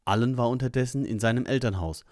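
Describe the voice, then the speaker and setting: A man's voice: medium pitch, quick pace, a little mellow, dry recording, studio quality. A man reading scripted narration for an audio drama.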